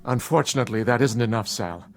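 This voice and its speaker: An actor playing a dignified, weary old father in a TV drama, speaking in a measured, polite and grave way. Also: mid-range voice, faint music behind, lightly acted. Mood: grave, pleading. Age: older. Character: dignified, weary, dying old father, former teacher, protective. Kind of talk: dramatic acting in a TV anthology drama.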